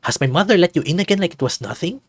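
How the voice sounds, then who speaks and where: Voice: mid-range voice, animated, racing, breathy edge, no echo, pitched above the performer's own voice. A narrator voicing a sleepy, disoriented, sweet young man in a visual novel, in a startled, questioning manner. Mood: confused.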